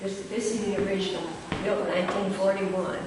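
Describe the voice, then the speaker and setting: Older woman, casual reminiscence, fry in the voice, distant mic with clear noise. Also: mid-range voice, level tone, a little fast. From rural Missouri, United States. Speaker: former pupil and local historian sharing memories. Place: large echoing indoor room, recorded from a distance. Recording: very echoey hall, distant mic, noisy.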